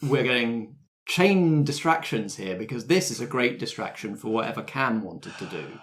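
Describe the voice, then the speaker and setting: A man's voice: medium pitch, expressive, normal speed. Man running a tabletop game. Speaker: game master. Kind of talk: casual explanation of game rules and rolls.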